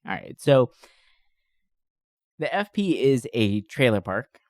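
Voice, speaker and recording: Animated male podcast host, dry close sound. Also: mid-range voice, takes it slowly, no room sound. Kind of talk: casual chat about movies.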